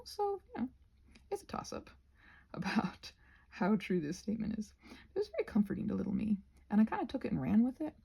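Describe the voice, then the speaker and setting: A woman chatting casually in a soft-spoken, digressive, self-deprecating ramble as she works on a craft. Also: medium pitch, creaky, slightly rough. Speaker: craft vlogger. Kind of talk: casual rambling chat while painting.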